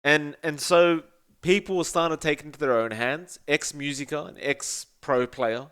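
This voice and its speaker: A young Australian man with a fairly high-pitched voice, talking into a podcast mic. He rants bluntly and swears freely, keeps turning to his co-host by name, and sounds like an esports caster in casual chat. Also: fairly even intonation, moderate pace, a bit bright, smooth.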